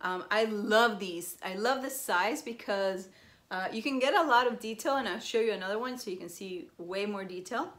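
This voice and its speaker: A woman's voice: medium pitch, moderate pace, fairly bright tone. A woman whose first language is Spanish, chatting in a bubbly, gushing way as she shows off her crafts. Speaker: crafting vlogger. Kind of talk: casual show-and-tell chat.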